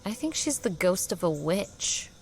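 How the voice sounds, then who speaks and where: A woman's voice: medium pitch, sing-song, unhurried, background noise. A woman playing a tough, weary Old West wanderer in an audio drama, with an American accent and cool, laconic delivery. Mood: grim, resolute.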